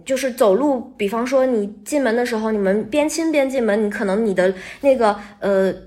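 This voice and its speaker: Sweet, bright high-voiced woman. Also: fairly quick. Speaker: voice actor guest. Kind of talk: casual podcast interview.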